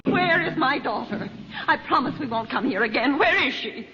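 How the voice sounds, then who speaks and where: A woman's voice: high-pitched, animated, moderate pace. An actress playing a desperate, brave mother in jungle peril, with breathless theatrical delivery in an old-time radio melodrama. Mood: alarmed. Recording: muffled old radio recording, faint noise.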